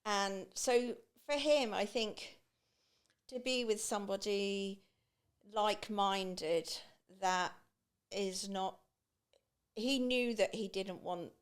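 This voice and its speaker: Chatty, halting woman guest. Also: mid-range voice, clear, resonant tone. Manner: chatty, halting, with many restarts and fillers. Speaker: podcast guest. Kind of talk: casual conversation.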